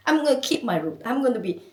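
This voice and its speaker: A woman from Malaysia with a Malaysian accent, chatting as a guest in an interview, animated and often laughing. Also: fairly high pitch. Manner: animated, laughing, chatty with many "you know"s. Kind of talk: casual interview. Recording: echoey room, mic at a distance.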